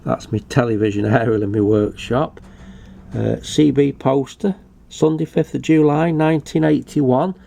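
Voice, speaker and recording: A man's voice: medium pitch, steady tone, normal speed, gravelly, warm tone. An older British man giving a casual show-and-tell commentary in a hesitant, matter-of-fact way. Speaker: hobbyist video presenter.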